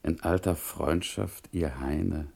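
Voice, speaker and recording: A courteous gentleman reading out a letter in a radio drama. His voice is lowish and mellow, and he takes each formal phrase at a slow, measured pace. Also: creaky, heavily acted. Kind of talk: German radio play acting, letter reading.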